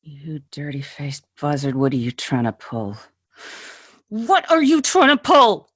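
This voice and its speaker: A woman playing a brash, suspicious, panicky society woman in a 1940s-style radio drama, sharp-tongued, demanding and melodramatic. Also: low-pitched, wildly swooping pitch, a little slow, no echo, studio quality, full character voice. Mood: defiant, angry. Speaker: voice actor.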